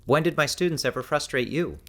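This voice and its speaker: A man's voice: on the high side, expressive, a little fast, fairly bright tone, voice pushed up. Casual, wry husband voiced by a male narrator. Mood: wry, mock-indignant. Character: easygoing, wry young husband who teaches. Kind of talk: audiobook character dialogue.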